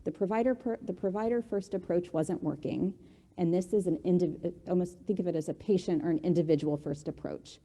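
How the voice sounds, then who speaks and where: Mellow low woman in a reverberant hall. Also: a little fast. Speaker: panelist presenting a mental health tool. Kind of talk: panel presentation.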